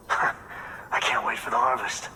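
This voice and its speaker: In a long reverb with faint noise, a game's farm leader with a very bright, forceful voice. He sounds earnest, folksy and full of hope. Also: medium pitch, flat delivery, slow pace. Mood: grateful, hopeful. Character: hardworking male farm leader, grateful, earnest, hopeful. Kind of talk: video game acting.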